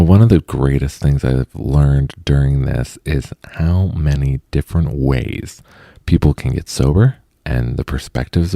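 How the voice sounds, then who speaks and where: A man's voice: a bass voice, creaky, mellow, no echo, clean studio sound. A man hosting a podcast interview, earnest and friendly, often repeating words as he starts a question. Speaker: podcast host. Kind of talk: podcast interview about sobriety.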